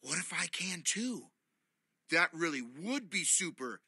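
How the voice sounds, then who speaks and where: A man voicing an excitable little boy panda, eager and squealing. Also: a little high, unhurried, slightly rough, voice pushed up, full character voice.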